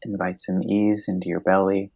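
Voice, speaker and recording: A man leading a guided meditation as scripted narration, speaking gently and soothingly, unhurried and with long pauses. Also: deep voice, flat delivery.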